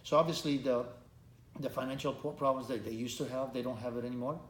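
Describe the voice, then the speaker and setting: A middle-aged man from Romania, a candidate for Congress, answering interview questions earnestly with many halting restarts. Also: medium pitch, little pitch movement. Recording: echoey room, faint background noise.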